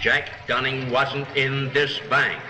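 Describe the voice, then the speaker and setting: An actor playing a shrewd, blunt small-town lawman in a 1930s film, deliberate and dryly sarcastic as he probes and accuses. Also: mid-range voice, flat delivery, close to an everyday voice. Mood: accusing, stern. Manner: deliberate, probing, with dry sarcasm. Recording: roomy 1930s film soundtrack, music underneath.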